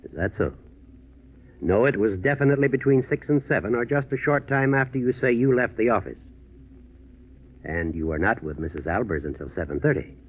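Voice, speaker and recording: Shrewd, low-voiced investigator. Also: male voice, husky. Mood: shrewd, pointed. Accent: American. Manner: measured, probing, deceptively casual. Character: methodical, shrewd district attorney quietly cornering suspect. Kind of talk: 1940s radio acting. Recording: tinny 1940s radio recording.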